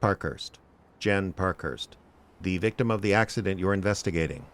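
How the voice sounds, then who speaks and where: An actor playing a wry, weary male private investigator, deadpan and sardonic, in radio drama narration and dialogue. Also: low voice, normal speed, close to an everyday voice. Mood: dry, curt. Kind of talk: first-person noir narration and dry radio drama dialogue.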